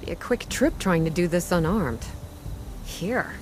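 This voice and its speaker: A woman's voice: on the low side, expressive, measured pace, noisy background. A woman playing a scrappy, friendly young treasure hunter in a video game, with a casual, earnest and slightly hesitant delivery. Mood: frank, helpful. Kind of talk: naturalistic video game dialogue.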